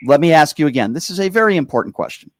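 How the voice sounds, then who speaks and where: A male American talk show host debates a caller with insistence. His fairly high voice swings widely in pitch as he leans hard on key phrases and interrupts to press his questions. The sound is dry and close. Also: animated, no room sound. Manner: emphatic, drawn-out stress on key phrases. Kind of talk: heated political call-in debate.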